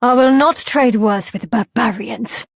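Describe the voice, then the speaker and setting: A haughty, unwelcoming lady from a video game. She speaks slowly and curtly with imperious scorn, in a dry, close recording. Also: on the high side, vocal fry, no echo. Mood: scornful. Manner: imperious, curt. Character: haughty, hostile woman rejecting foreign visitors. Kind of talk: dramatic video game voice acting.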